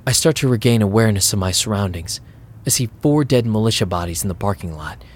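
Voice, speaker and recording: A man's voice: lowish voice, expressive, quick pace. An actor playing a weary, rattled vampire club DJ who narrates his night in a wry first-person audio drama style. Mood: tense, wry. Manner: wry, sardonic. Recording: music behind the voice.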